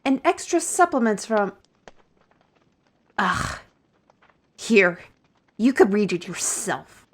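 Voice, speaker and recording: A woman playing a weary, irritable, paranoid artist with magical abilities in a fantasy audio drama, grumbling and halting. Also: mid-range voice, very animated. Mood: exasperated, defensive.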